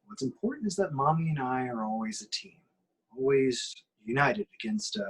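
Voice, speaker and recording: A man plays a weary middle-aged dad with an office job, in a husky voice of medium pitch. He sounds earnest and a bit hesitant, reading a script with fellow amateurs. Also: big pitch swings, moderate pace, low-quality recording. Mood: reassuring. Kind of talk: amateur script table read.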